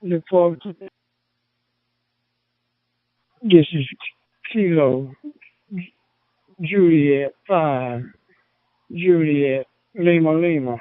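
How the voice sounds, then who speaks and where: Male radio amateur from the US, measured slow delivery, procedural wording, narrow transmission band. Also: on the high side, no room sound. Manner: formulaic, repeats phrases. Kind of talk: ham radio contact, clipped sign-offs. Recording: dry, tinny phone-like radio transmission.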